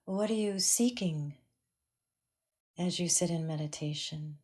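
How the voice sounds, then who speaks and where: A woman teaching a guided meditation in a calm, measured way with long pauses. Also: on the low side.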